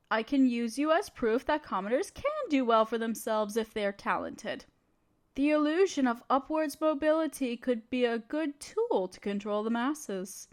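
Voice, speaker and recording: A high, very expressive female voice from an actress plays a scheming evil empress. She is imperious and conniving, with a playful streak. Her lines swoop up and down theatrically, as in a fantasy audio roleplay addressed to one listener.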